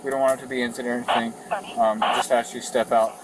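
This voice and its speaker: A man's voice: medium pitch, level tone, fast talker. An American man working as a police officer, explaining things procedurally during a roadside stop. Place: outdoors at a roadside traffic stop. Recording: noisy outdoor police bodycam audio.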